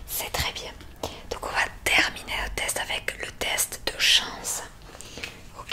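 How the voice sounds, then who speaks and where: Slow, whispering ASMR woman. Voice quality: breathy, unvoiced whisper. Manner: soft, unhurried, step-by-step instructing. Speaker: ASMR roleplay host, examiner giving relaxation tests.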